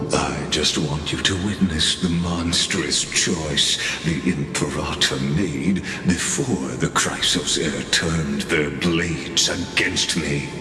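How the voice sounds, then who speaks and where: Ancient menacing warlord, abyssally low voice, extremely slow, grave, video game drama, echoing over music. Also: male voice, full character voice. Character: ancient, menacing male warlord, weary of words. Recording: echoey cavernous reverb under music.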